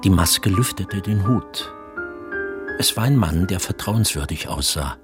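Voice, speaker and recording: A man's voice: deep voice, lively intonation, measured pace, music in the background. A man narrating a literary radio drama from a script.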